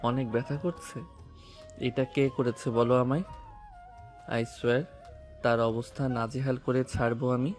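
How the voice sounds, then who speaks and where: A man's voice: mid-range voice, level tone, a little slow, pauses often, darkish tone, close to an everyday voice. Guy as protective young cop husband, angry and commanding, mid voice, music faintly behind. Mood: protective anger. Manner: commanding, confrontational. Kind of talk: audio romance story drama acting.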